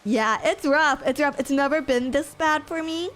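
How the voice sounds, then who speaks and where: A woman's voice: high-pitched, moderate pace, fairly bright tone, faint music behind. A young woman streamer in casual chat with viewers, sniffly from allergies, rambling with lots of fillers and giggles.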